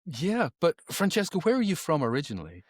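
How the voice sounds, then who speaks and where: A man's voice: fairly high pitch, huge pitch swings, a little fast, dry recording, studio quality. A man speaking as a podcast guest in conversation, emphatic and opinionated, often repeating words as he thinks. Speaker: podcast guest, author and creativity educator.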